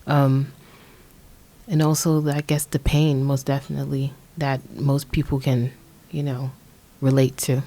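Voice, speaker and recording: Low-voiced young American woman, hesitant. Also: level tone, measured pace, mellow. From Indianapolis, USA. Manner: hesitant, searching for words. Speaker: podcast guest, young music artist. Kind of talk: casual conversational podcast introduction.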